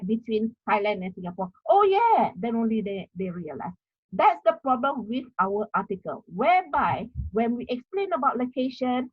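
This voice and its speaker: A woman's voice: a little high, slightly rough, no echo, muffled recording. A middle-aged woman from Malaysia with a Malaysian English accent, giving an academic talk on article writing, repeating herself with many fillers. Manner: repetitive, explanatory, many fillers. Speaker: university lecturer.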